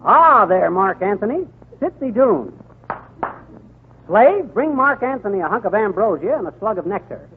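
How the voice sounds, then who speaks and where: An actor playing a boastful, folksy small-town husband and wisecracking know-it-all in a 1930s radio comedy. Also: male voice, sits high, sing-song, moderate pace, soft background music. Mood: hammy. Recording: tinny, noisy 1930s radio recording.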